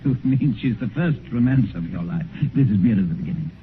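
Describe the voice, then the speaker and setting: An actor playing a cynical, witty, urbane gentleman with an upper-class English accent, amused and condescending, in a 1950s radio drama. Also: mid-range voice, expressive, fast, close to an everyday voice. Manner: languid, epigrammatic, amused condescension. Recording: tinny, noisy 1950s radio recording.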